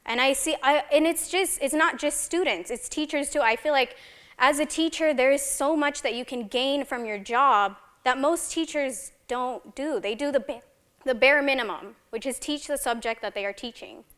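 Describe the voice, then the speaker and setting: A woman's voice: high-pitched, moderate pace, a bit bright. A young woman student from El Salvador, speaking passionately on a panel and posing pointed rhetorical questions. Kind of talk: impassioned panel remarks.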